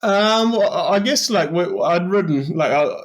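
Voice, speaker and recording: A man's voice: high voice, expressive, moderate pace. A man in his thirties with a New Zealand accent, answering interview questions in an easygoing, hesitant way full of restarts. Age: 30s. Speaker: guest athlete.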